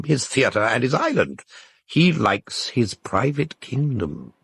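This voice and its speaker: An actor playing an urbane, cynical literary critic in an audio drama, speaking in a dry, articulate, knowing way. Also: male voice, on the low side, vocal fry, breathy edge, no room sound, heavily acted. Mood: worldly, amused. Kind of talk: naturalistic audio drama dialogue.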